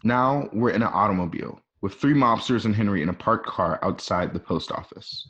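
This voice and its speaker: A man with a fairly low voice narrates a radio play. He reads out scripted stage directions, setting each scene in the present tense. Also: a little fast, fairly bright tone, lo-fi sound.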